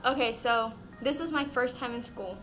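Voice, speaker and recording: A woman's voice: on the high side, normal speed, fairly bright tone, low-quality recording. A young woman from Puerto Rico, a native Spanish speaker, telling personal stories casually and animatedly. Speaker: interviewee, peer mentor. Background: background music.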